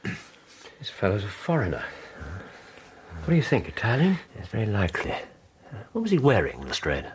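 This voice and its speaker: An English actor playing a brilliant, brisk Victorian detective in a radio drama, clipped, musing and dryly ironic. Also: male voice, fairly low pitch, wildly swooping pitch. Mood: absorbed, probing. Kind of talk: British radio drama acting.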